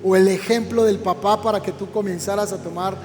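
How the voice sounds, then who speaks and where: A man's voice: sits high, fairly even intonation, a little fast, long reverb tail, background noise. A man, a pastor, interviewing a guest in a church program with an encouraging pastoral manner.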